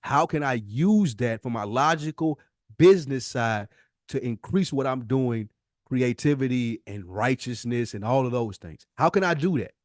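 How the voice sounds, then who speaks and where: A man's voice: medium pitch, animated, normal speed, no room sound. Insistent American man in heated debate, dry sound. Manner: emphatic, insistent, slangy, lecturing. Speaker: podcast co-host.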